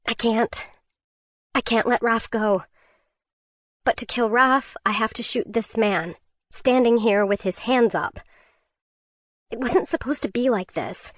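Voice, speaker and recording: A woman narrating a first-person survival thriller audiobook in a tense, measured way. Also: on the high side, dry recording, studio quality.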